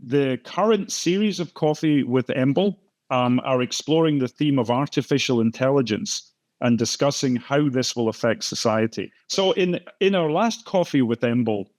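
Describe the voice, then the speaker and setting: An older Scottish man hosting an online talk event on a video call, speaking in a halting way with many fillers and restarts. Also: medium pitch, no echo. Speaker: host and moderator. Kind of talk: conversational welcome and introduction.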